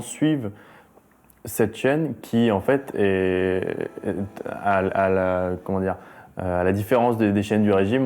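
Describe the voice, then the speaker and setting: A man, talking earnestly and haltingly in an interview, with many fillers and restarts. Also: fairly low pitch, warm tone. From France. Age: 20s. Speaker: activist. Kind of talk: interview and conversation.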